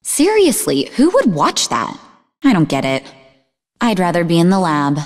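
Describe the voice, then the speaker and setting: An actress playing a sarcastic, sharp-witted young woman psychic who is easily exasperated, in a video game. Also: on the low side, wildly swooping pitch, normal speed, in a large hall. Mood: disgusted. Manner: sarcastic, teasing.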